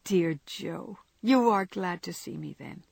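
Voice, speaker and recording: A woman's voice: fairly low pitch, animated, takes it slowly, gravelly, darkish tone, breathy edge, no room sound, studio quality, full character voice. A woman voicing a mischievous, boyish young married man in a storybook, with playful mock dignity. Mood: pleased.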